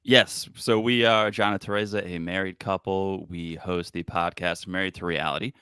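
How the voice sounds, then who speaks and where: Deep-voiced confident man guest. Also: steady tone, moderate pace, pushed and bright, smooth, no echo, studio quality. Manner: confident, emphatic, opinionated. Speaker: podcast co-host guest. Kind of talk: casual chat.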